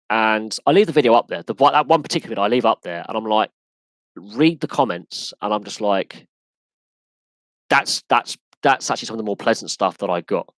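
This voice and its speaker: A man with an English accent hosting a live sports podcast Q&A, talking in an animated, emphatic way that often restarts and repeats itself. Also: fairly low pitch, no room sound, rough recording. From England. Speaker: podcast host. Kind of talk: live Q&A rant.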